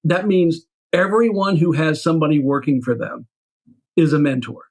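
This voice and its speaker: A man's voice: a little high, normal speed. A man giving firm, definition-like answers in an interview. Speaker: guest.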